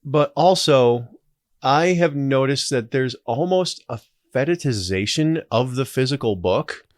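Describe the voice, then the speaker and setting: A man's voice: mid-range voice, animated, unhurried, no room sound, clean studio sound. A man in his forties talking casually as a podcast guest, reflective and opinionated. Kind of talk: casual conversational interview.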